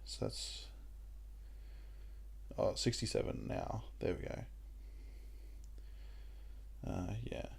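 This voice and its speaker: Croaky young Australian man. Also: deep voice, takes it slowly, long pauses. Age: young adult. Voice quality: croaky, gravelly voice. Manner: rambling and relaxed, with many ums. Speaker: gaming YouTuber. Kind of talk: casual let's-play commentary.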